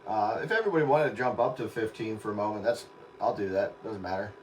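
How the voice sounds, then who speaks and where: A man's voice: on the low side, fast talker, a little hiss or hum. A man chatting casually and in a rambling, easygoing way as he hosts a stream from his radio set. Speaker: radio operator hosting a livestream.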